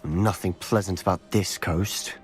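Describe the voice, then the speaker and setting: An actor playing a wary, grumbling scout and ally in a fantasy video game, serious and gruff. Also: male voice, deep voice, fairly even intonation, a little slow, soft background music.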